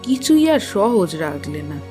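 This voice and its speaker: A woman playing a caring, plain-spoken older motherly matron in a literary audio drama, with a gentle, concerned, homely manner. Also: medium pitch, sing-song, a little slow, soft background music. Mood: troubled, reflective.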